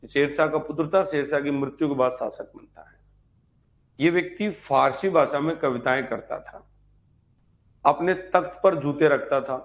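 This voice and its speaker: A man giving an informal live history class as a teacher, instructive, repeating key points and checking in with students. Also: mid-range voice. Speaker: history teacher for exam preparation.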